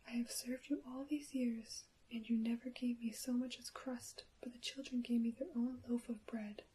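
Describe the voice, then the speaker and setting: A woman voicing a neglected, reproachful servant watchdog from a storybook in a breathy whisper. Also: fairly high pitch, fairly even intonation, moderate pace, dark tone, rough recording.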